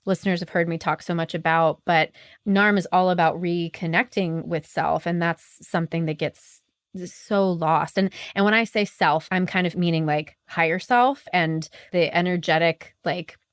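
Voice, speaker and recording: A woman's voice: on the low side, fairly even intonation, normal speed, clear, resonant tone, dry recording, studio quality. A woman hosting a podcast in a casual chat, affirming her guest often and explaining ideas in a loose, conversational way. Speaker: podcast host.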